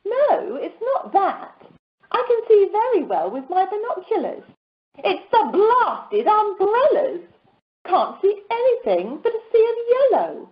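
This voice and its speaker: A grumbling female holidaymaker with an eye for men, acted by a woman in an amateur radio comedy. Her voice is extremely high and swings wildly, on a dull telephone-conference recording. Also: huge pitch swings, a little slow, exaggerated voice.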